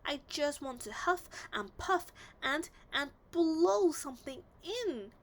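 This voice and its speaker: A woman's voice: sits high, lively intonation, slow, pitched above the performer's own voice, heavily acted. A woman voicing a nervous, grumpy male wolf from a storybook, howling and complaining. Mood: whining in pain. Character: big bad male wolf, whiny, nervous, grumpy with toothache.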